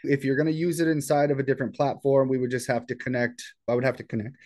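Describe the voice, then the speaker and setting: Guy doing a casual screen share tutorial, explains step by step, normal mid pitch voice. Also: little pitch movement, fast talker, darkish tone. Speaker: software tutorial presenter. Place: indoors at a computer.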